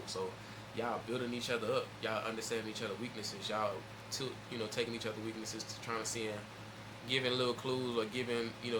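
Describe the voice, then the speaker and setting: A man commenting on a video in a loose, emphatic way, often turning to address his audience directly. His voice sits at an ordinary middle pitch. Steady background noise runs under him the whole time. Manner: loose, emphatic, talks straight to viewers. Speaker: reaction video host. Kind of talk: casual reaction commentary.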